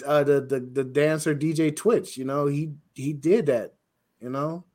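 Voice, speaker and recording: This is a man with a somewhat high-set voice that has a rough edge to it. He speaks casually, like a co-host airing opinions. His manner is blunt and exasperated. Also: a little slow, darkish tone. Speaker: talk show co-host.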